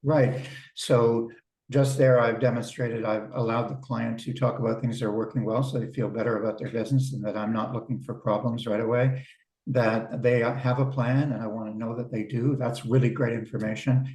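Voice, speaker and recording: A man coaching small business owners on a video call, talking in a relaxed, conversational way and often repeating words as he starts a thought. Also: medium pitch, normal speed. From Canada. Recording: roomy sound from a distance.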